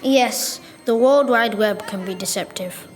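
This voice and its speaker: A woman's voice: fairly high pitch, measured pace, soft background music, close to an everyday voice. A young girl playing Firewall, a friendly, careful and reassuring guide to the internet in a school radio play. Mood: friendly, informative. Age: child. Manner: reassuring, explanatory.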